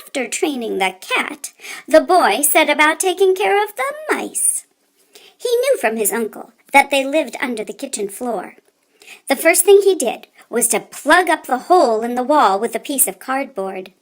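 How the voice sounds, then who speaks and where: A woman reading a children's story aloud in an expressive, deliberate way, with theatrical emphasis. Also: high-pitched.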